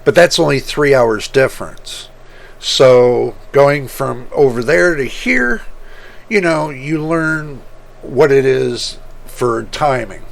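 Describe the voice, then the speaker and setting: A man hosting his computer-building channel, talking casually and rambling, with a rough voice that repeats points as he goes. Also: medium pitch, animated. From United States. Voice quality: rough, gravelly voice. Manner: slow, rambling, repeats himself. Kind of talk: casual talk to camera.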